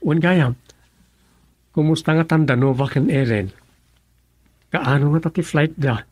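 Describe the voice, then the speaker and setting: A man's voice: on the high side, measured pace, darkish tone, slightly rough, no room sound. An actor playing a plain-spoken younger male farmer in a Filipino radio drama, with a Filipino accent. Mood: businesslike.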